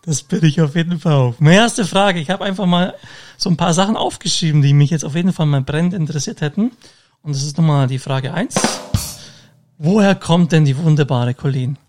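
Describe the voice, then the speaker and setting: A man's voice: a little high, brisk, a little mellow. A man hosting a casual interview podcast, rambling along with fillers and restarts. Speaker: podcast host.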